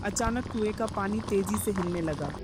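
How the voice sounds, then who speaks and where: A woman's voice: mid-range voice, moderate pace, over music. A woman giving scripted narration of a horror story as its narrator.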